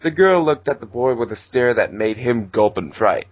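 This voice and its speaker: A man's voice: medium pitch, lively intonation, moderate pace, no echo, highs cut off. A man reading fan fiction aloud as narrator, in a casual amateur style.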